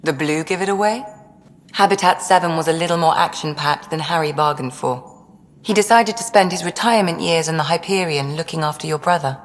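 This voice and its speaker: A woman's voice: deep voice, fairly quick, long reverb tail, natural voice. A woman playing a dry-witted alien doctor in a video game, speaking in a precise, clinical way. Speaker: ship's doctor. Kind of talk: video game dialogue acting.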